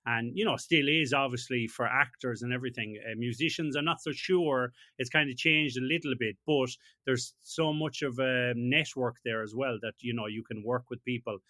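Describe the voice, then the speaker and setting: A man hosts an interview with a bright, forceful voice. He speaks conversationally and closes his points with tag questions. The sound is close and dry, with no room. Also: mid-range voice, steady tone, moderate pace, hardly any pauses, no echo. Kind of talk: casual interview chat.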